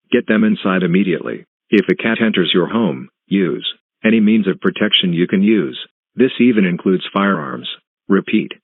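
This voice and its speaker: A man reading a scripted emergency broadcast announcement in a formal, flat, instructional manner. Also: low voice. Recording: tinny phone-like band, echoing.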